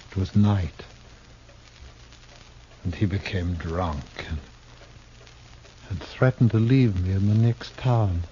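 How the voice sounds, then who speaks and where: A doomed stage actor on the run, old western radio show, low dark voice, theatrical n halting, mournful. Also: male voice. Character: despairing, cultured traveling actor turned killer, on the run. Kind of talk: 1950s radio Western acting. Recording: muffled, noisy old 1950s radio recording.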